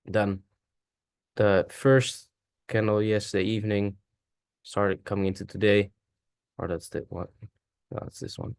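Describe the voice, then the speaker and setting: A man whose first language is Dutch, casually thinking aloud through a chart walkthrough, halting and correcting himself as he goes. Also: lowish voice, little pitch movement, gravelly, no room sound, studio quality. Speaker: forex trader.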